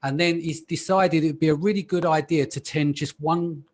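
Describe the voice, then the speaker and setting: Brief: male host, fairly high voice, relaxed chatty delivery on a call. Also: moderate pace. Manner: relaxed, chatty, frequent ums. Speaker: podcast host. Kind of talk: live panel discussion.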